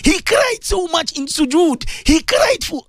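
Very high-pitched South African man, pleading preacher. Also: sing-song, takes it slowly, fairly bright tone, dry recording, clean studio sound. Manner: pleading, emotional, repetitive, often rising to a cry. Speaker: preacher leading a supplication. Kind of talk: impassioned religious supplication sermon.

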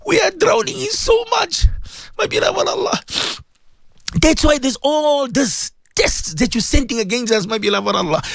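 A man's voice: very high-pitched, creaky, a bit bright, dry recording. A South African man preaching an impassioned supplication, pleading and emotional, repeating his phrases. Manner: pleading, emotional, repetitive, often rising to a cry. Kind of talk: impassioned religious supplication sermon.

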